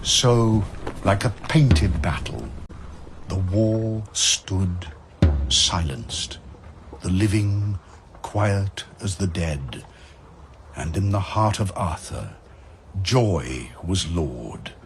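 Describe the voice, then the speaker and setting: Low-voiced man narrating epic verse. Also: animated, noisy background. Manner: measured, solemn, storytelling. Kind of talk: scripted dramatic verse narration.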